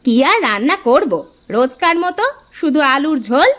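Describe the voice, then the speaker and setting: A woman voicing a sharp-tongued village housewife in a cartoon, with a theatrical manner. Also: sits high, sing-song, moderate pace. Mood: scornful, nagging. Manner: scolding, theatrical. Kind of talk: Bengali cartoon voice acting.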